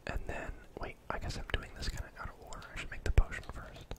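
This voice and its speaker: Casting: young male gaming streamer, whispered ASMR, casual and rambling, close-miked. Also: fast talker. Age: young adult. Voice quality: soft, breathy whisper. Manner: casual, rambling, thinking aloud. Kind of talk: whispered ASMR gameplay commentary.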